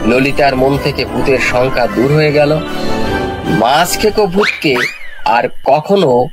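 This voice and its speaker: A man narrating a scripted folk tale in a measured, literary storytelling manner. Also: a little high.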